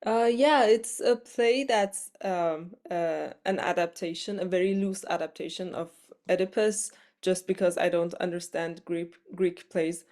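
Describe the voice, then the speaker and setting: A woman from Iran, a playwright, giving casual, halting answers on a video call. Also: on the low side, darkish tone.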